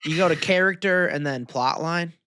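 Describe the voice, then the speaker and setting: A man's voice: on the high side, normal speed, sharp tone, smooth, no room sound. A man chatting casually as a podcast co-host, slangy and full of questions. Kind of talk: casual chat.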